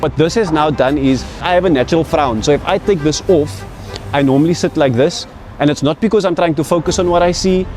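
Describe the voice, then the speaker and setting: A man's voice: on the high side, darkish tone. A content creator giving a fluent, confident testimonial about his work and routine. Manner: fluent, confident self-presentation. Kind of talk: testimonial interview.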